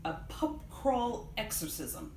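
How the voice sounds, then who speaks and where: A friendly, puzzled pub bartender read by a woman asks his questions in a hesitant, drawn-out way. It comes from a live storybook reading in a roomy space with background noise. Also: on the high side, big pitch swings, dark tone, voice pushed up. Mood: bewildered. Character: bemused friendly male Irish pub bartender.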